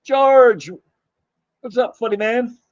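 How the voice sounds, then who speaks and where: A man hosting a live stream, chatting casually and greeting viewers in a rambling, halting way while his voice is going hoarse. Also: very high voice, steady tone, no echo, lo-fi sound. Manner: rambling, halting, with many fillers and restarts. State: losing his voice. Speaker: livestream host.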